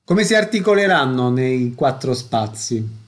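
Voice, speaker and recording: A man's voice: medium pitch, very animated, a little slow. A man presenting and asking questions as a radio interviewer.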